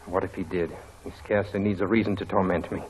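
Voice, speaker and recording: A man's voice: sits low, flat delivery, quick pace, a little mellow, a little hiss or hum, natural voice, rough recording. An actor playing a brooding, devoted young man in a 1940s radio drama. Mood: tender, bitter.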